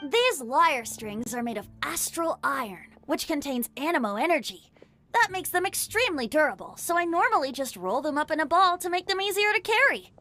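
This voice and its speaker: A woman's voice: high-pitched, very animated, fairly quick, bright, forceful tone, heavily acted. A woman voicing a cheerful, playful young male bard with a flowery, theatrical way of speaking. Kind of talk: video game voice acting.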